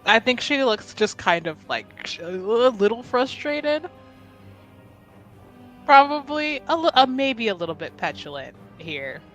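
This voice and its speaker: A woman's voice: on the high side, very animated, unhurried, fairly bright tone, faint music behind. A woman playing a tabletop roleplaying game, casually improvising narration about her character.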